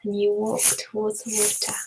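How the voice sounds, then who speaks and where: A woman softly guiding a meditation in an ASMR style, mostly in a breathy whisper, soothing and gentle, often repeating words. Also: mid-range voice, completely flat delivery, normal speed, background noise. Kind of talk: ASMR guided meditation roleplay.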